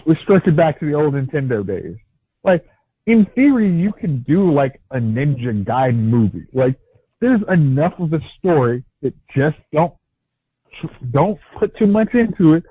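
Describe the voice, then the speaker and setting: Brief: higher, animated, husky male panelist, tinny phone-like sound. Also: normal speed, no echo. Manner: rambling, many likes. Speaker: podcast panelist. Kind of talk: casual chat.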